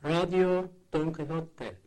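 A voice chanting short repeated phrases like a jingle, slow and monotonous. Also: mid-range voice.

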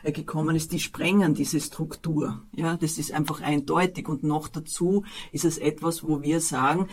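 A man with an Austrian accent, a city social services official, answering in a halting way with many fillers. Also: fairly high pitch, fairly even intonation, a crowd in the background. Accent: Austrian German. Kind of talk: radio interview answers.

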